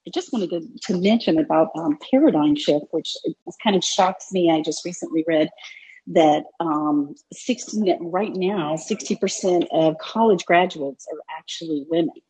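A woman caller with a very raspy, dark voice speaks hesitantly with frequent "um"s, a little low in pitch. The sound is dry and close. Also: moderate pace, dry recording, rough recording. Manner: hesitant, many "um"s. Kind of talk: casual panel discussion.

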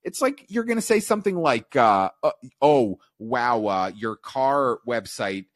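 A man's voice: medium pitch, animated, unhurried, dry recording, studio quality. A man hosting a casual, opinionated podcast chat, speaking emphatically with swearing and repeating himself for effect. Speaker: podcast host.

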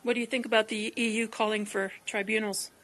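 A woman's voice: a little high, flat delivery, fast, fairly bright tone. A woman hosting a podcast, discussing politics in conversation. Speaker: podcast host.

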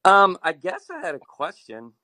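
A man on a podcast asking a long, hesitant question full of pauses and restarts. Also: high-pitched, huge pitch swings, sharp tone, no room sound. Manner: hesitant, many ums and restarts. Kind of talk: casual podcast chat.

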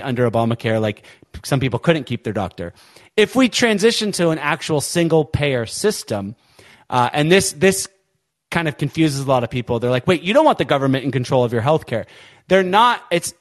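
A man hosting a political podcast, talking in an impassioned, emphatic way. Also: a little high. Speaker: podcast host.

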